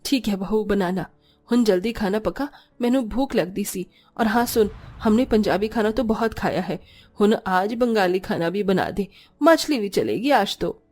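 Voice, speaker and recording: A woman's voice: medium pitch, animated, a little slow, a little mellow. A woman voicing an older, bossy Punjabi mother-in-law with a commanding, no-nonsense manner. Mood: approving.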